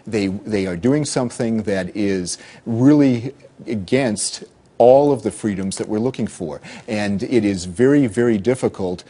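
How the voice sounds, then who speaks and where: Male American news pundit, low and dark-toned, measured explainer giving expert commentary. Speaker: expert guest, cybersecurity consultant and former military intelligence officer.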